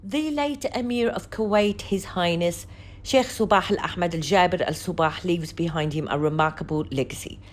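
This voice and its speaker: A woman reporter reading a scripted news report in a formal, measured and emphatic manner. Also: fairly low pitch.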